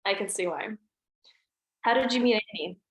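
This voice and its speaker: A woman reads a young adult daughter who teases with wry one-liners. Her voice sits at a middle pitch, and the room adds noticeable echo. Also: normal speed, close to an everyday voice. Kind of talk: table read. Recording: roomy echo.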